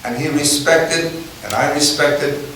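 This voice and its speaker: Animated male filmmaker in an echoey hall. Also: on the high side, measured pace, a little hiss or hum. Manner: animated storytelling, laughing asides. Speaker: documentary filmmaker. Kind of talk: panel discussion. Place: press club event room. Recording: very echoey hall, distant mic.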